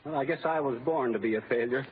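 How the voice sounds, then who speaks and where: A man playing a meek, flustered, henpecked husband who doubts himself, in a hesitant, excitable old-time radio comedy style. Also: mid-range voice, fast, close to an everyday voice. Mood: dejected, anxious. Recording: tinny, noisy old radio broadcast.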